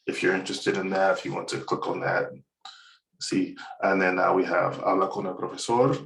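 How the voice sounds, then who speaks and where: A man giving a relaxed, rambling walkthrough of his Spanish course, with many fillers and chuckles at his own jokes. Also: sits low, vocal fry. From United States. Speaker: Spanish instructor. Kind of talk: screen-recorded course walkthrough. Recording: roomy, slightly distant mic.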